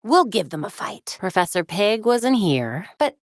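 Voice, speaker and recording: A woman voicing a young crime-fighting superheroine in a video game, with an American accent and a wry, crisp, determined delivery. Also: mid-range voice, very animated, unhurried, no echo, studio quality. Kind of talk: scripted video game voice lines. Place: recording studio.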